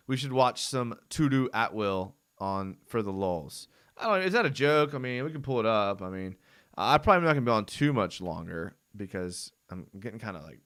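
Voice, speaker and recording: A man's voice: fairly low pitch, fairly bright tone, clear, resonant tone. A young man hosting a casual live fan show, rambling in a laid-back way with lots of fillers, his voice tired and starting to go from talking so long. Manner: laid-back, rambling, frequent "dude" and "I mean". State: voice going hoarse from long talking. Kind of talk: casual live-stream chat.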